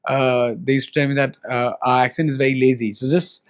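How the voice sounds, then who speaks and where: Fast-talking young Indian man. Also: medium pitch. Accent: Indian English. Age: young adult. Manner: animated, laughs often, many fillers. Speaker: actor guest. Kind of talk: casual interview, mixing English and Hindi.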